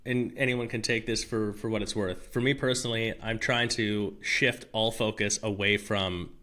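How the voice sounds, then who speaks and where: A man talking casually on a podcast, animated and rambling, with restarts and the odd swear. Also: on the low side, moderate pace. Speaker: podcast guest. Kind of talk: casual conversation.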